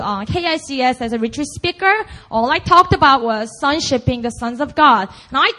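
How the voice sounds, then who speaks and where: A young woman preacher with a Korean accent and a high, bright-sounding voice. She talks to the congregation casually and with emphasis, tossing in "like" and "right?" between her points. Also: low-quality recording. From Busan, South Korea. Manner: chatty and emphatic, full of "like" and "right?". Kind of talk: conversational sermon.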